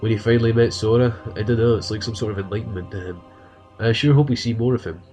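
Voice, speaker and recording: A man's voice: on the low side, fairly even intonation, quick pace, mellow. A man with a cold giving earnest, casual commentary on his favorite game characters, often restarting his sentences as he thinks aloud. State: has a cold. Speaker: video game fan commentator. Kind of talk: casual ranked-list commentary. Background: music.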